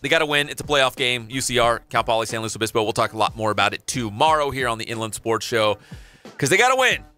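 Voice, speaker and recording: Radio sports guy hosting, bright and bouncy, little music under it. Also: mid-range voice, quick pace, clean tone. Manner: lively, promo-style sign-offs. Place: radio studio. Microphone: studio boom mic.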